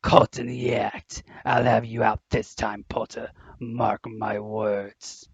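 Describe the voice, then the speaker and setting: A man playing a menacing, spiteful old school caretaker in an audio drama, hissing slowly in a husky, gravelly voice. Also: fairly low pitch, flat delivery, dry recording, dull audio, full character voice. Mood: menacing, accusing. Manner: slow, menacing, accusing. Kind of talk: audio drama villain acting.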